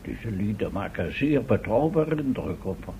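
Expedition professor: older, formal, gently scolding, lively pitch; vintage radio serial with slight noise. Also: male voice, normal speed, vocal fry, low-quality recording. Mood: mildly admonishing. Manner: formal, wordy. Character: genteel, mildly admonishing older professor leading an expedition. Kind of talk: old Dutch radio drama acting. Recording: old radio recording, faint noise.

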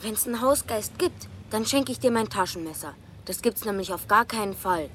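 A woman's voice: medium pitch, lively intonation, a bit bright, slight background noise, close to an everyday voice. A woman playing a cheeky, skeptical eleven-year-old boy in a radio play, with a Bavarian accent and a cocky, argumentative manner. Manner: cocky, quick to argue.

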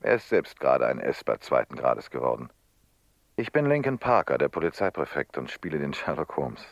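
An actor playing a worldly, gallant telepathic police prefect in a radio play, with a measured, insistent manner. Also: male voice, extremely low pitch, very animated, creaky, no room sound, heavily acted. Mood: urbane, playful. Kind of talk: German radio play acting. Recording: dull, dry studio radio recording.

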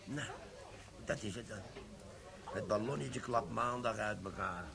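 A gravelly, scheming army sergeant. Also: male voice, on the low side, a little slow. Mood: bantering. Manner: cheeky, flirtatious, conspiratorial. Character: sly scheming middle-aged army sergeant. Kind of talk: radio play acting. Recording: pub chatter behind.

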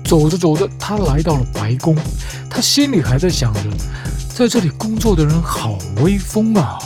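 Sex: woman. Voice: low, lively. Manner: lecturing storyteller. Behind: music. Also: moderate pace. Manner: lecturing, argumentative, tags points with rhetorical questions. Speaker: storyteller host. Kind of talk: scripted comic storytelling. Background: background music.

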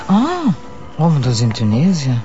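Fussy female travel customer, hesitant, very lively intonation, muffled recording. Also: rumbling low, normal speed, music in the background. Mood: hesitant, picky. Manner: hesitant, picky, repeating herself. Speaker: actor in a radio drama. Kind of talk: naturalistic radio drama dialogue. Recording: dull, band-limited radio drama recording.

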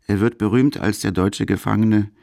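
A man's voice: lowish voice, fairly even intonation, normal speed, gravelly, darkish tone, no echo, studio quality. A man narrating a radio documentary from a script.

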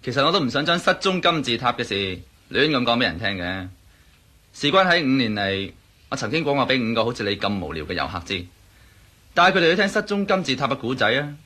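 A man's voice: medium pitch, big pitch swings, quick pace, sharp tone, breathy edge, heavily acted. A man playing a talkative, sly Egyptian hotel waiter in a radio drama, wordy and self-justifying. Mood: mysterious, coy. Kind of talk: Cantonese radio drama acting.

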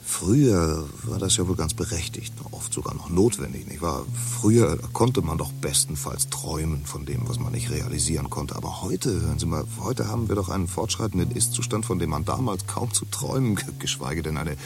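A man's voice: rumbling low, expressive, fairly quick, gravelly, few pauses, background noise, full character voice. An actor playing a talkative, condescending man in a futuristic society who lectures his drinking companion. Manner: talkative, condescending, full of jargon. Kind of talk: radio drama acting.

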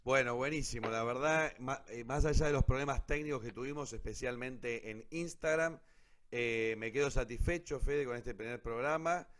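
A man's voice: medium pitch, moderate pace, a bit bright. Man, Argentine Rioplatense accent, radio host, mid-range voice, casual, informal, grateful. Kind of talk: casual live show sign-off.

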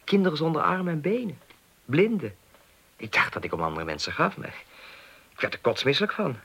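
A man's voice: a little high, very animated, brisk, bright, forceful tone, husky, heavily acted. A man playing an awkward, earnest young idealist in a Dutch radio play, speaking hesitantly with stammers. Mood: troubled. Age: 20s. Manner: hesitant, stammering, agitated when recounting.

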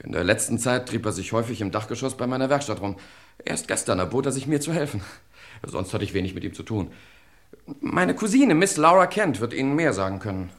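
A flippant young gentleman in an old radio mystery. His pitch leaps about excitably, with a breezy, defensive edge. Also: a little fast. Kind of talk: vintage German crime radio drama acting.

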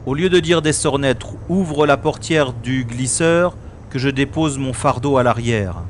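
A man's voice: medium pitch, measured pace, slight background noise, close to an everyday voice. A man playing a cool-headed, sardonic special agent in a French-style science-fiction audio drama. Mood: brisk, wary. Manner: sardonic, flippant, unflappable.

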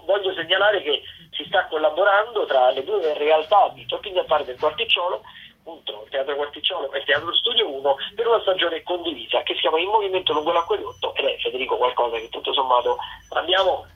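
A radio presenter giving a semi-scripted rundown of listings in a halting, discursive way, with self-corrections. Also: medium pitch, expressive, husky. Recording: muffled, phone-like radio line.

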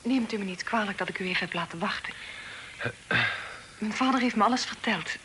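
An actress playing a polite, guarded young daughter of the house in a radio play. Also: female voice, mid-range voice, a little fast, fairly bright tone, close to an everyday voice. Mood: soft, solicitous. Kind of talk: Dutch radio play acting. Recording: noisy old radio play recording.

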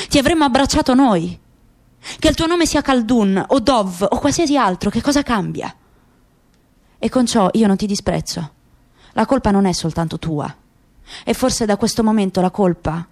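A woman voicing a grieving, bitter middle-aged father in a radio play, speaking in measured, rhetorical phrases. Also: on the high side, lightly acted.

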